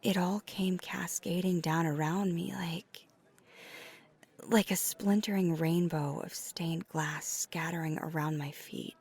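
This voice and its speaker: A woman playing a tender, flirty vampire in an ASMR roleplay, speaking in a breathy, often whispered voice with earnest, hesitant pauses. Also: on the low side, fairly even intonation, darkish tone, full character voice. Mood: vulnerable, confessional. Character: flirty, tender female vampire confessing to a date. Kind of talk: soft ASMR roleplay, intimate confession.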